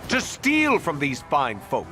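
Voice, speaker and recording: An actor playing a smug, preachy fake superhero in a video game, declaiming grandly and righteously. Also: male voice, on the high side, huge pitch swings, a little slow, pushed and bright, soft background music, heavily acted. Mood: smugly righteous.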